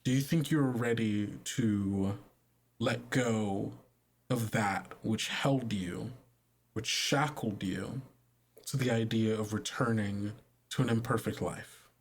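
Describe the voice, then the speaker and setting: A man playing a gentle but insistent female religious authority, speaking formally, haltingly and patiently in a tabletop game. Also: on the low side, slight background noise. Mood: gentle, solemn.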